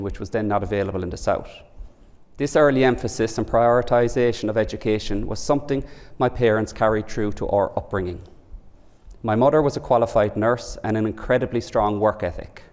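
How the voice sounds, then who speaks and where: A man in his forties with a Cork Irish accent delivers a prepared lecture in a measured, formal way. His voice sits fairly low, and a long reverb from a large lecture hall trails each phrase. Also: a little mellow. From Ireland. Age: 40s. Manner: measured, reading a prepared speech. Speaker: professor giving inaugural lecture.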